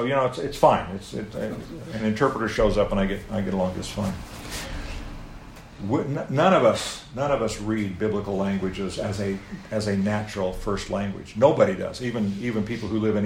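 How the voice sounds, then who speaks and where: A man's voice: lowish voice, gravelly. A middle-aged American man preaching a sermon to a live audience, telling stories with playful asides. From United States. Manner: animated storytelling, playful asides. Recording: echoey room, faint background noise.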